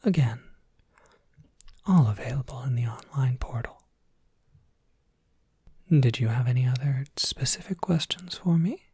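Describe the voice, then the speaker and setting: A person voicing a courteous Cerberus professor in a whispered ASMR roleplay, polite, gently scholarly and prone to rambling, with a soft breathy whisper. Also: mid-range voice, measured pace, long pauses, dry recording. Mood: courteous, welcoming.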